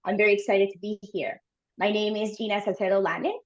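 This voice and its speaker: A woman's voice: mid-range voice, steady tone, fast. A woman from Ecuador, speaking enthusiastically and articulately on a panel. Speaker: panelist, data analyst. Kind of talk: panel self-introduction. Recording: slightly dull lo-fi sound.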